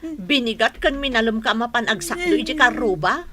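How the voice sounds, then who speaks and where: A woman's voice: on the high side, animated, fairly quick, bright, forceful tone. A woman playing an outspoken, sympathetic young friend in a radio drama, exclaiming expressively with a Filipino accent. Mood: concerned, excitable. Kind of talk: Ilocano radio drama acting.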